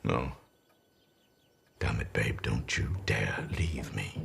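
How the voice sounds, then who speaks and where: Old comedian grieving, halting n pleading, voice jumps around a lot, faint noise behind. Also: male voice, very deep voice, lively intonation, vocal fry, exaggerated voice. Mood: anxious, coaxing. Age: older. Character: elderly comedian, anxious and grieving at friend's deathbed. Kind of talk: radio-play style naturalistic drama.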